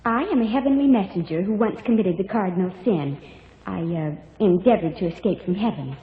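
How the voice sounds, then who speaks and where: A woman's voice: medium pitch, expressive, a little fast, close to an everyday voice. A woman playing a prim, righteous angel in an old radio play, speaking in haughty, formal, preachy diction. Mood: solemn, preachy. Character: prim, righteous female angel stepped out of a painting. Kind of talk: 1940s radio acting. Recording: muffled old radio recording, echoey hall.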